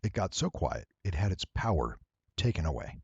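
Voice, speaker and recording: A man giving a casual, unscripted software tutorial in a deep, husky voice, with wry, playful asides. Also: big pitch swings, moderate pace, dry recording, lo-fi sound.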